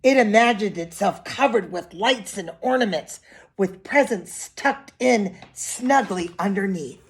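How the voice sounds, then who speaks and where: A woman's voice: mid-range voice, expressive, a little slow, slightly rough. A woman reading a picture book aloud expressively to young children, with simple asides and questions to her listeners.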